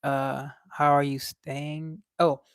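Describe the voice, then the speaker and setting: A man's voice: on the high side, level tone, slow pace, smooth, dry recording, clean studio sound. A young man with a light voice, chatting casually on a livestream and reading out chat in a laid-back, slangy way.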